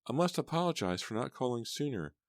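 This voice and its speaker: A man's voice: medium pitch, big pitch swings, fairly quick, dry recording, studio quality, voice pushed up. A man voicing a grieving middle-aged mother on a speakerphone, trembling, stammering and sobbing. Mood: distraught, tearful.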